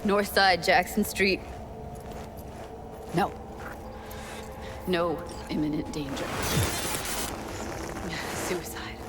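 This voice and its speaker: A woman's voice: on the low side, very slow, long pauses. A woman acting a tough young woman in grief and shock for a video game, blunt, profane and emotionally raw. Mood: subdued, grieving. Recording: music playing behind the voice. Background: game music.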